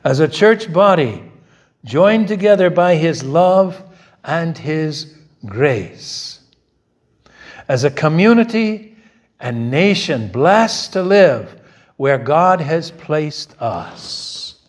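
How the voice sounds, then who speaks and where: A middle-aged male pastor preaching a bilingual sermon in a church, loud and emphatic, firing off rhetorical questions and shifting between Korean and English. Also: on the high side, sing-song, drawn-out words. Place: church sanctuary.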